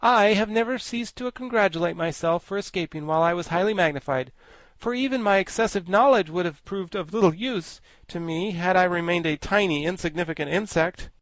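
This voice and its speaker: A man voicing a pompous, learned male insect gentleman with grand, formal speech. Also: high-pitched, fairly quick, no echo, voice pushed up. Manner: grandiloquent, formal, self-satisfied. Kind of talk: audiobook character voice.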